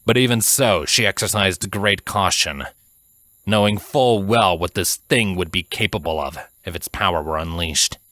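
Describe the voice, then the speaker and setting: A man reading scripted fantasy fiction as narrator, in a measured, dramatic storytelling manner. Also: deep voice, bright, forceful tone, no room sound.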